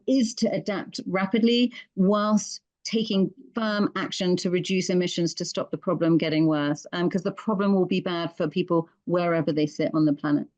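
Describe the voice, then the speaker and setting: A woman's voice: fairly low pitch, a little mellow, dry recording. Earnest British woman, dry sound. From Britain. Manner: earnest, thoughtful, with frequent fillers and restarts. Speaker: conservation expert guest. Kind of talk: interview answers.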